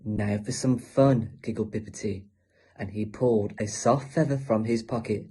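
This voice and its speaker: A man's voice: fairly low pitch, steady tone, normal speed, dark tone, slightly rough. A male teacher reading a children's story aloud as a storybook narrator.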